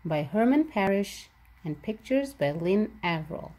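A woman's voice: mid-range voice, sing-song, unhurried, dark tone, slight background noise, poor audio. A woman reading a children's picture book aloud, expressively and with careful enunciation for young listeners. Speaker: read-aloud storybook narrator.

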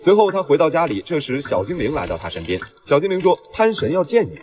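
Fast, animated male story narrator. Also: on the high side, slight background noise. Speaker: film recap narrator.

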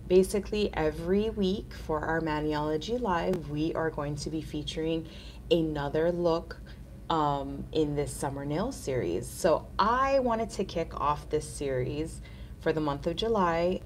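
A woman's voice: sits low, a little hiss or hum. A woman in her thirties hosting a casual live nail art tutorial, chatty and upbeat, sounding nasal from a cold.

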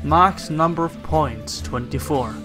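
A man's voice: fairly high pitch, takes it slowly. A man casually commenting on gameplay, thinking aloud with many fillers. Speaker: gaming channel host. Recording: voice over loud game music. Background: game music.

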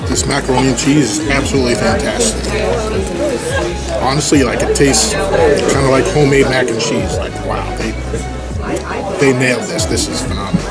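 A man's voice: medium pitch, normal speed, pauses often. A man in his thirties chatting casually to the camera as a food challenge vlogger while he eats. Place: indoor pub restaurant booth. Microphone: camera on the table at arm's length. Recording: busy restaurant with loud music and chatter. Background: loud music and crowd chatter.

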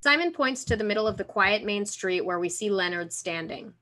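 A young woman narrates the action of a script. Her voice is bright and forward, at a middle pitch, as she reads stage directions over a video call. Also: expressive, brisk. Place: room at home.

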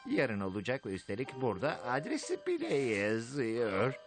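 A man's voice: mid-range voice, big pitch swings, moderate pace. An actor voicing a scheming, bossy male cartoon animal villain, quarrelsome and theatrical, in a dubbed cartoon. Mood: excited scheming. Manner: scolding, theatrical. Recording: music behind the dialogue.

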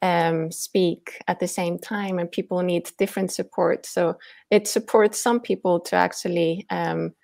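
Low, gravelly woman. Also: fairly even intonation, a little mellow. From Iceland. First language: Icelandic. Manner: careful, with frequent "um" pauses. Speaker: author and former Icelandic teacher. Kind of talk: interview answers over video call. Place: home room, indoors. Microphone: earbuds with mic on a video call.

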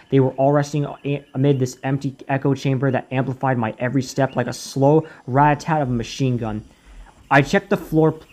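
Guy reading a creepypasta, kinda high voice, slow and careful, trips on words sometimes. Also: darkish tone. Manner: slow, deliberate reading with occasional stumbles. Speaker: narrator.

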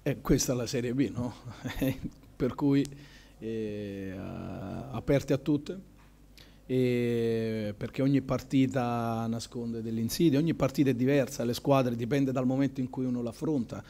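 A man in his forties, a football coach, answering questions at a post-match press conference in a measured, thoughtful way, with many pauses. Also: medium pitch, gravelly, warm tone. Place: press room in front of a sponsor backdrop. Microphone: table microphone.

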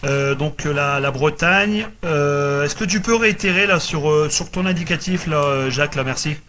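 A man's voice: fairly high pitch, moderate pace, sharp tone. A man from northern France chatting casually as an amateur radio operator, often repeating reassuring little phrases. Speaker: radio operator making contacts. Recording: noticeable background noise from radio set.

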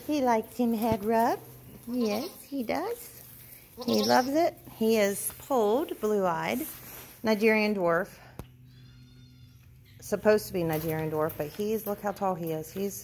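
Woman, slow unhurried talk, chatty farm tour, phone camera outdoors, faint outdoor hum. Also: mid-range voice, vocal fry. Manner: chatty, talks sweetly to animals, unsure of breeds. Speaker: vlogger touring her farm. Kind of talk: casual walking tour narration. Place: outdoors on a farm among animals. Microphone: phone camera held while walking.

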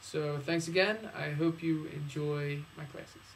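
A man with a slightly high voice speaks as an English teacher introducing his classes. His phrasing is formal and careful, and there is some noise behind him on the recording. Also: steady tone, unhurried. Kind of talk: video introduction of own classes, prepared talk.

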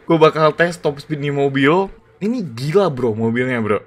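A man giving excited, slangy gameplay commentary as a gaming YouTuber. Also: fairly high pitch, normal speed. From Indonesia. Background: background music.

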